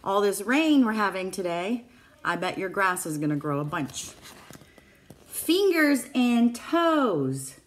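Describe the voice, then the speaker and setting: Very slow-paced woman reading to children. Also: medium pitch, sing-song. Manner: simple child-directed phrasing with questions to listeners. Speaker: preschool teacher. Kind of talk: reading a picture book aloud to young children. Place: small classroom, indoors. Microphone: phone or tablet at arm's length.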